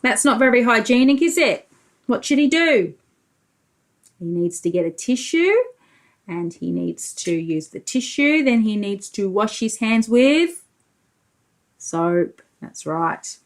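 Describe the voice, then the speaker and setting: A woman's voice: a little high, animated, takes it slowly, long pauses. A woman preschool teacher reading a storybook aloud to young children, expressively, explaining as she goes and putting questions to the listeners.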